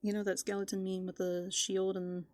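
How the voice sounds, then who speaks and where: A woman chatting softly and casually in a whimsical, musing ASMR roleplay as a playful raccoon character, close to the microphone. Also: medium pitch, flat delivery, moderate pace, no room sound.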